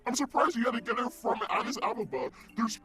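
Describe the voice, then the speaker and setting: A man playing a hot-headed, whiny supervillain in a comedic radio play, slangy, mocking and excitable. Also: very high-pitched, fast, exaggerated voice. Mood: sulky, mocking.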